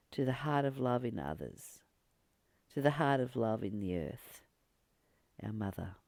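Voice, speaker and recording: A spiritual teacher reading aloud and reflecting on a text in a deliberate, earnest way, pausing between short phrases. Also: medium pitch, vocal fry, darkish tone, no room sound.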